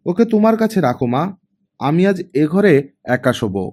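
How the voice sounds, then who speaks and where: A man's voice: a little high, sing-song, normal speed, darkish tone. A man voicing a stern, guarded young woman, an elder sister with a curt, admonishing manner, in an audiobook story. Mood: curt, irritated.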